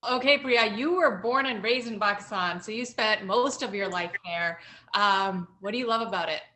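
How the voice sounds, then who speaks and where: Bright upbeat woman hosting a panel. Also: mid-range voice, normal speed, slight background noise. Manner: upbeat, inviting, laughs easily. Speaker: talk show host.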